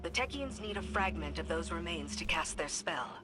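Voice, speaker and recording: A woman's voice: fairly low pitch, expressive, moderate pace, noisy background, close to an everyday voice. A woman playing a loyal guard captain in a video game, grave and dutiful, with a sober military manner. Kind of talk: scripted video game dialogue. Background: game music.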